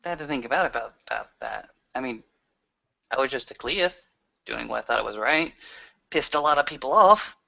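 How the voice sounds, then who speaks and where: A man's voice: medium pitch, animated, normal speed, gravelly, bright, forceful tone. A man roleplaying as a player in a tabletop session, hesitant and thinking aloud as he goes. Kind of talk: improvised in-character roleplay. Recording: dull lo-fi recording.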